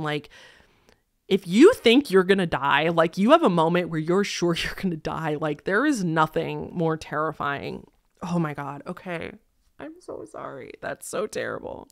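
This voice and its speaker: Young adult woman, slightly low pitch, conversational, expressive, casual storytelling host, close mic, dry sound. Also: dry recording. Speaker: host reading listener-submitted stories. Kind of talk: reading stories aloud with casual commentary. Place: small decorated indoor room. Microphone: close desk microphone.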